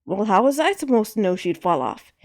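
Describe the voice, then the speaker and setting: A woman voicing a short-tempered grown male wolf who talks in a loud, gruff, barking way. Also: mid-range voice, very animated, a little fast.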